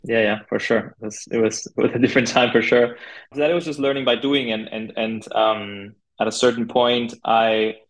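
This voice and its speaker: A man from Argentina speaking as a podcast guest in a casual, conversational way, informal and halting, with plenty of filler words. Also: mid-range voice, steady tone, rough recording. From Argentina (Buenos Aires). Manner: informal, halting, peppered with 'like' and 'you know'. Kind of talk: casual conversational interview, telling own career story.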